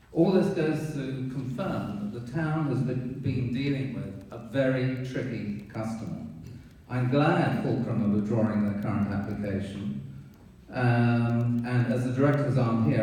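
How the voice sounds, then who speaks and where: A man speaks up at a town hearing, pointed and accusatory, pausing on fillers as he lists his charges. His voice has a dark, mellow timbre. It is picked up from afar in a very echoey hall with faint hiss. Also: medium pitch, far from the mic. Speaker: resident giving public comment. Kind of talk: public comment at a town board hearing. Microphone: room mic from a distance.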